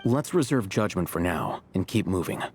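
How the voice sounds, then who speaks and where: A grave, composed scholar in a fantasy game. His voice is low and measured, with a solemn, reasonable manner. Light music plays underneath. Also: very animated, a little mellow. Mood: calm. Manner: measured, solemn, level-headed. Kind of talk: animated video game voice acting.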